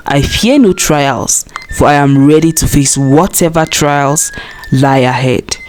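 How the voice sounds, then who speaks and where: A woman's voice: low voice, measured pace, a little mellow, a little hiss or hum, voice pushed down. A woman voicing a proud, fearless male hunter with bold declarations in a storybook tale. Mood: proud, defiant. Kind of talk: storybook character reading.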